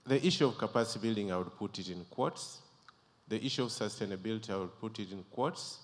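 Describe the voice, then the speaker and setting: A man's voice: on the low side, fast. A man from Uganda speaking from the floor, pointed and rhetorical. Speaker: audience member asking a question. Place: conference hall. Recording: distant, long hall reverb.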